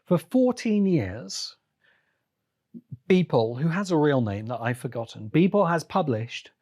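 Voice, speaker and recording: A man hosting a podcast solo, giving conversational commentary in an emphatic, deliberate way, repeating phrases for effect. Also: on the high side, darkish tone. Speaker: podcast host and critic.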